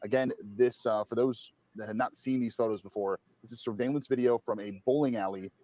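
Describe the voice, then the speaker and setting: Man, news anchor, medium pitch, live breaking coverage. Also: fairly even intonation, a little fast, warm tone.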